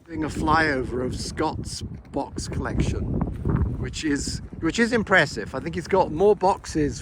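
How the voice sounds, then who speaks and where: A man's voice: medium pitch, very noisy. A man hosting a casual vlog, chatting and teasing off the cuff as he asks questions. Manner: joking, teasing. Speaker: host filming and asking questions. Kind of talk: casual unscripted vlog chat.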